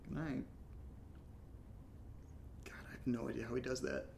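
A man's voice: sing-song, moderate pace, darkish tone. An actor playing a wary, guilt-ridden man who bottles things up, in naturalistic audio drama. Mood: guilty, confiding. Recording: clear background hiss and low rumble.

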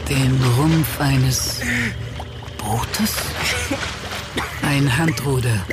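An actor playing a grave male narrator of a horror tale, telling it in literary phrases with weighty, suspenseful pauses. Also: medium pitch, loud music behind the voice. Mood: ominous, suspenseful. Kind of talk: dramatic audio drama narration. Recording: very loud background sound effects.

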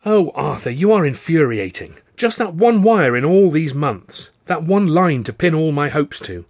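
A man voicing a sharp, teasing young mother in an audiobook, easily exasperated. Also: medium pitch, big pitch swings, a little fast. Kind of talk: audiobook character dialogue.

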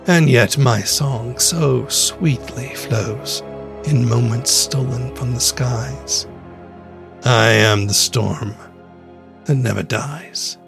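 A man's voice: on the low side, vocal fry, fairly bright tone, full character voice. A man voicing a vengeful, seductive spirit of wrath in a grandiose, solemn poetic monologue with archaic wording. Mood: ominous.

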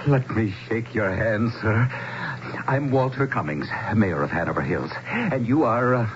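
An actor playing a genial, excitable middle-aged townsman with a hearty, eager manner in a radio drama. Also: on the low side, unhurried. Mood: awed, delighted. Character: genial, excitable middle-aged man, neighbor or small-town mayor. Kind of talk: 1970s radio drama acting. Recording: dull, noisy 1970s radio broadcast.

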